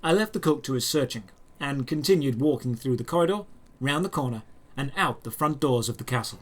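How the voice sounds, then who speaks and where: A man narrating a scripted first-person fantasy story in a measured, descriptive storytelling manner. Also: medium pitch, lively intonation.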